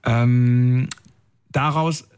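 Male radio host, upbeat and chatty, reads listener messages, mid-pitched voice, studio mic. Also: steady tone, a little mellow, clean tone. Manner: chatty and upbeat, with fillers and quick asides. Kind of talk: casual chat, reading listener messages aloud.

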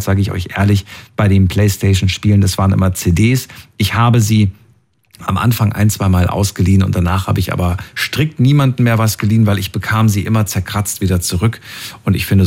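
Host: man, lowish voice, chatty and upbeat, runs a call-in show. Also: a little mellow, breathy edge. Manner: chatty, upbeat, reads listener messages. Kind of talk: casual radio phone-in chat.

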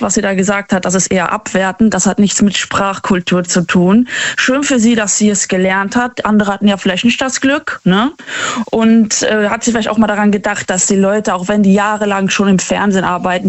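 Halting young woman caller, dry sound. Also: medium pitch, no room sound. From Düsseldorf. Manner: halting, repeats words, stammers when worked up. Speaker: caller to a radio show. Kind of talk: casual, opinionated phone talk. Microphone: phone.

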